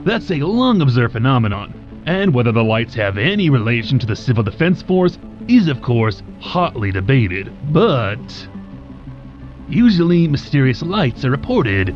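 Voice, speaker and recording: Man playing an expressive, lecturing radio expert. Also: medium pitch, lo-fi sound, soft background music, natural voice. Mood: earnest. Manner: measured, formal, lecturing. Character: earnest male expert on strange sky lights. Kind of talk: radio show commentary, acted.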